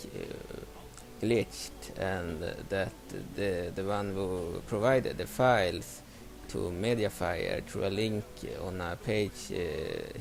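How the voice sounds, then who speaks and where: A man's voice: deep voice, creaky, a little mellow. A man from Sweden with a Swedish accent, a non-native English speaker, talking casually about a game with frequent hesitations and fillers. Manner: hesitant, many fillers and restarts. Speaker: let's play creator.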